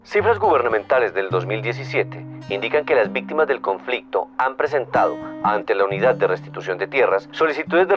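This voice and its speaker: A man reading scripted, factual narration in a news style. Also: on the low side. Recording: dull lo-fi audio, guitar music.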